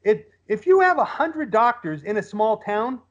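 High-voiced man debating, lo-fi audio. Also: very animated, normal speed. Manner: rhetorical questions, acts out imagined quotes. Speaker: podcast guest. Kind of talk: casual opinionated discussion. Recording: dull lo-fi audio.

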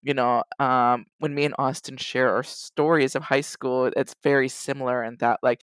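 A man speaking personally on a panel, in a halting, conversational way full of verbal fillers. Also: medium pitch, flat delivery, a bit bright, clear, resonant tone, no room sound. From Toronto, Canada. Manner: halting, frequent "you know" and "like".

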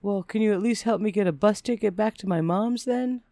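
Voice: medium pitch, a little fast, warm tone, dry recording, voice pushed up, close to an everyday voice. A narrator voicing a whiny, taunting, self-pitying ex-husband in a personal story, with a needling manner. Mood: hurt, angry. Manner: whining, needling.

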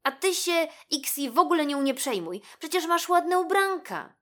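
A woman's voice: sits high, sing-song, moderate pace, a bit bright, voice pushed up. A woman voicing a loyal, grumpy young boy rabbit in a children's story, firm and protective. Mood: reassuring.

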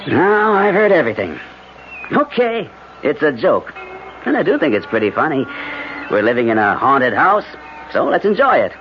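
An actor in a 1970s radio drama playing a sceptical, teasing husband with a bantering, exasperated manner. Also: male voice, fairly high pitch, very animated, normal speed, long pauses, soft background music. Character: sceptical, teasing husband back from a business trip. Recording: dull noisy 1970s radio broadcast.

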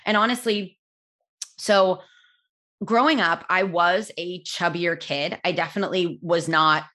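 A young adult woman with a loud voice, telling a personal story in a candid, reflective way with many fillers and restarts. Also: on the low side, measured pace.

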